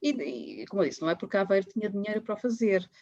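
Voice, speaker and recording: A woman's voice: mid-range voice, very fast, mellow, dry recording, muffled recording. A woman with a European Portuguese accent, a professor explaining ideas in an online talk, often restarting her sentences. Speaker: guest speaker, professor. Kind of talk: webinar talk.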